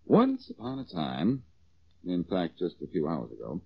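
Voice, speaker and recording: An actor playing a cocky, quick-witted amateur detective with wry self-assurance in a 1940s radio drama. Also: male voice, low voice, huge pitch swings, gravelly, breathy edge, exaggerated voice. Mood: playful, teasing storytelling. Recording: dull old radio recording.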